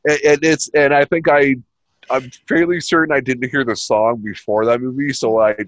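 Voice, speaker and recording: A man co-hosting a podcast, chatting about a movie in a halting way, repeating words and laughing often. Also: mid-range voice, fairly even intonation, no room sound, muffled recording. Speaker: podcast co-host. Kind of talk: casual chat about a movie.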